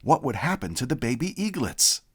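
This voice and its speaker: A man reading a children's picture book aloud as a storyteller, with a deliberate, expressive delivery. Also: medium pitch, slightly rough. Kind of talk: scripted read-aloud narration.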